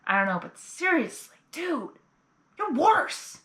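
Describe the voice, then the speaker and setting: High-voiced woman reacting in an echoey room. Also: very animated, slow, fairly bright tone. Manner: exclamatory, indignant asides. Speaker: reaction video host. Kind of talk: casual live reaction commentary.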